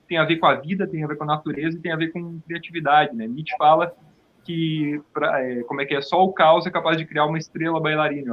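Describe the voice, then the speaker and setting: Higher-pitched musing Brazilian man, dull audio. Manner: philosophical, musing. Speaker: theatre company member, interview guest. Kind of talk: reflective conversational explanation.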